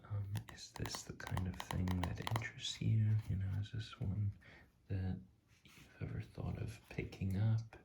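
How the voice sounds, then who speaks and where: A soft-spoken ASMR host giving a casual, hushed, unscripted commentary while flipping through a card deck. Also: deep voice, little pitch movement, unhurried, creaky, very noisy. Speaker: tarot deck reviewer, video host.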